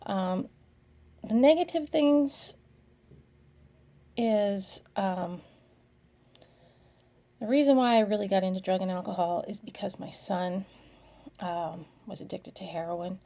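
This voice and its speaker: A middle-aged woman giving a slide presentation in an earnest, halting way, speaking in short phrases. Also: medium pitch, vocal fry, mellow.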